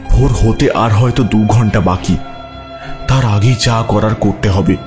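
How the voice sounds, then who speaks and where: A man giving a dramatic, suspenseful scripted narration of a horror story. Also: lowish voice. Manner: suspenseful, theatrical.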